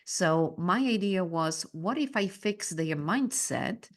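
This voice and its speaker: A woman from Poland, a native Polish speaker, talking as a guest on a podcast in an earnest, measured way about her coaching work. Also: lowish voice, lively intonation. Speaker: guest, business mindset coach. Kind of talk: podcast interview.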